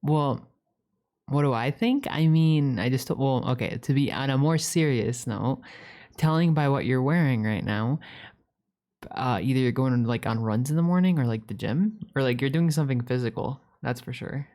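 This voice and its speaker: Woman voicing a low, mellow, rambling catboy roommate. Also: moderate pace. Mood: curious, chatty. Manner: rambling, stammering, repeats words. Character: playful, needy young catboy roommate, chatty and teasing. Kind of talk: ASMR roleplay, improvised casual chat.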